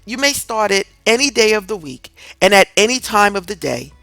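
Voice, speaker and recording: A woman reads a scripted self-help audiobook in a deliberate, earnest, instructive way. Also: mid-range voice, very animated, gravelly, a bit bright, no echo, poor audio. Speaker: audiobook reader. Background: music in the background.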